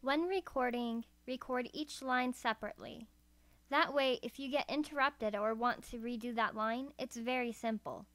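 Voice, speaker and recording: A woman's voice: a little high, moderate pace, a bit bright, no echo. A woman narrating a scripted how-to tutorial in a careful, step-by-step way. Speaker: voice acting tutorial presenter. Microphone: USB karaoke microphone.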